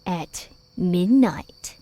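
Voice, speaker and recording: Sly, slow-spoken young boy. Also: fairly low pitch, lively intonation, warm tone. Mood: sly confession. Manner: teasing, deliberate, polite. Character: sly, precocious, charming young boy in a ghost story. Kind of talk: gothic audio drama acting.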